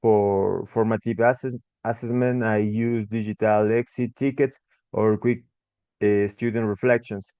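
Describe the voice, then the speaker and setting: A young man with an Ecuadorian accent talks slowly through a class presentation, stopping to restart words and fill gaps with "uh." His low voice stays flat and smooth. The recording is dry and a little muffled. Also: no room sound. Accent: Ecuadorian Spanish. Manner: halting, frequent restarts and fillers. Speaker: English tutor.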